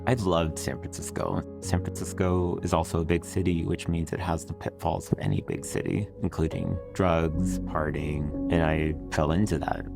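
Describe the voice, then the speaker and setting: Man, very low voice, gravelly, reflective testimony, music underneath. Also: moderate pace, few pauses. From El Salvador. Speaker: research interviewee. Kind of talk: personal testimony.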